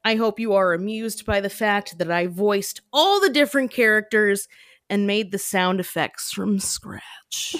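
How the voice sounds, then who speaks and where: A woman's voice: medium pitch, a bit bright, no room sound, studio quality. A woman podcast host chatting casually and self-deprecatingly, often laughing. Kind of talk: casual chat.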